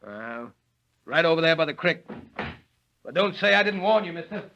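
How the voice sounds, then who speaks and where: An actor playing a wary, hostile village local in an old radio drama, with menacing rustic speech. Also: male voice, fairly high pitch, expressive, moderate pace, gravelly, sharp tone, highs cut off, a little hiss or hum, exaggerated voice. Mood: hostile warning. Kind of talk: 1930s radio drama acting.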